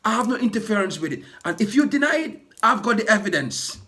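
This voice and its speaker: A man who works as a ride-hailing driver, hoarse from losing his voice, delivering an indignant, repetitive rant to camera for his vlog. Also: high-pitched, sing-song, quick pace, bright, forceful tone. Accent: British. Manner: indignant, repetitive, building to impassioned outbursts.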